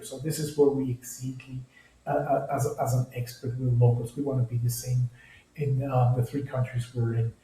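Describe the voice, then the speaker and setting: A man's voice: medium pitch, dark tone. Ecuadorian man, echoey and distant. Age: middle-aged. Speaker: tour company product manager presenting. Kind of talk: informal training presentation. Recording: roomy echo, distant microphone.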